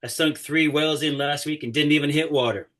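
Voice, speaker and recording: A man's voice: fairly high pitch, steady tone, fairly quick, a bit bright, close to an everyday voice. A man acting a stubborn, grumbling oilman of sixty in a drama script read. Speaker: actor.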